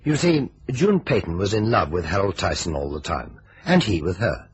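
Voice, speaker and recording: An actor playing a thoughtful, measured detective agency boss with a husky voice in an old-time radio mystery. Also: male voice, low-pitched, big pitch swings, lo-fi sound, full character voice. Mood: reflective. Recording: vintage radio recording.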